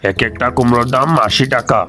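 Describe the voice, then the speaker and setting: A man voicing a brash, spiteful animal uncle in a cartoon, a pushy rival seller with a gravelly voice. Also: mid-range voice, steady tone, quick pace, sharp tone, faint music behind, close to an everyday voice. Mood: scoffing, pushy. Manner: scoffing, pushy. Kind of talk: cartoon character voice acting.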